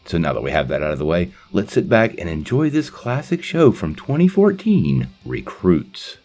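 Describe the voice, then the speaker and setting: A man's voice: low-pitched, big pitch swings, moderate pace, vocal fry, darkish tone. A man hosting a video channel, giving a scripted introduction in an explanatory presenter's delivery. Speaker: channel host. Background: faint music.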